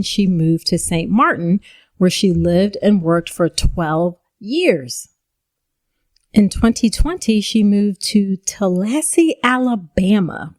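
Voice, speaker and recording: A woman's voice: fairly low pitch, darkish tone. A woman hosting a pregnancy and birth podcast, introducing a guest and interviewing her in an encouraging, affirming way. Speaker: podcast host, obstetrician.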